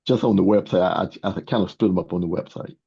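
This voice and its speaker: An older man in his sixties or beyond, answering interview questions as a guest author in a halting way, often repeating words. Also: low voice, gravelly, husky. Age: 60s or older. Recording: dull, muffled lo-fi sound.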